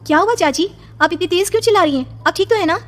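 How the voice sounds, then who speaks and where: A woman's voice: very high voice, expressive, rapid-fire, faint music behind. A woman voicing a polite, plaintive young pregnant woman in a horror cartoon story. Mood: reassuring. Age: young adult. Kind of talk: dramatic Hindi cartoon story dialogue.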